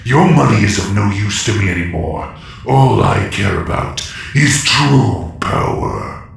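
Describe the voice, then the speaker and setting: A menacing alien emperor, slow and very low in pitch. He gives orders like threats, hungry for power. His voice booms in heavy echo in this audio drama. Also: expressive, vocal fry, full character voice. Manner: commanding, threatening. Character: imperious male galactic warlord, power-hungry and menacing. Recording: heavy echo, faint rumbling ambience.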